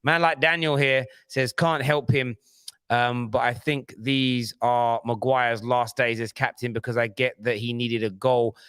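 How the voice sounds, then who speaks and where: A man's voice: mid-range voice, steady tone, a little slow, fairly bright tone, smooth, no room sound. A man hosting a football fan livestream, chatting in a laid-back, chummy way and reading viewer messages aloud. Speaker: host. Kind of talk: casual chat, livestream reading super chats.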